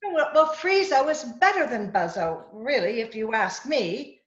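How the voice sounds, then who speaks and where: Radio drama ingenue female actor: earnest, curious, very lively intonation, echoey muffled recording. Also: fairly high pitch, measured pace. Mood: inquisitive. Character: Susie, earnest, inquisitive young female companion. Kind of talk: old-time radio drama acting. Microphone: video call. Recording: roomy, dull video-call audio.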